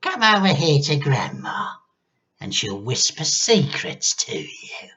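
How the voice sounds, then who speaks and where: A man's voice: on the high side, sing-song, sharp tone, dull audio, pitched above the performer's own voice, exaggerated voice. Man putting on a nasty, gloating old witchy grandma. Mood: sinister coaxing. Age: older. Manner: drawn-out, gloating, sometimes throaty whispering.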